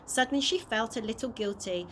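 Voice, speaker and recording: A woman with a fairly high voice reads a children's story aloud. Her storytelling is expressive, and the homemade recording has faint noise. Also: brisk. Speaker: early years practitioner reading a story. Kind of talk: children's audiobook read aloud. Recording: noisy amateur home recording.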